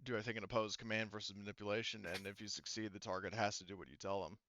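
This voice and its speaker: A man running a tabletop game as game master in casual talk, explaining rules in a halting, rambling way full of asides. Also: lowish voice, steady tone, pushed and bright, lo-fi sound, a little hiss or hum.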